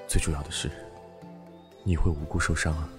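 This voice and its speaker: A man acting a calm, teasing and devoted supernatural fighter in a Chinese costume audio drama. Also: extremely low pitch, a little fast, over music. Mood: solemn, gentle.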